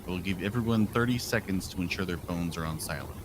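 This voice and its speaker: A man's voice: low voice, fast talker. A man playing a judge in a roleplay courtroom, stern and procedural as he lays out the rules. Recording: noisy game voice chat.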